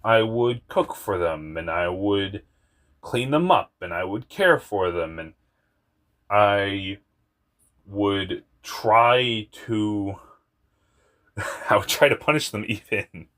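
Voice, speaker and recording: A young man with a very deep voice telling a personal story in a rambling, candid way, often laughing at himself and swearing. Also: animated, takes it slowly, long pauses, a bit bright. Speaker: vlogger.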